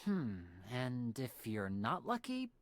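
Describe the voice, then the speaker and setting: A woman voicing a charming young male stage magician in a video game, speaking with smooth, teasing, theatrical politeness. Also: extremely low pitch, sing-song, takes it slowly, dry recording, heavily acted. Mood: playful, teasing. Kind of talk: scripted video game character dialogue.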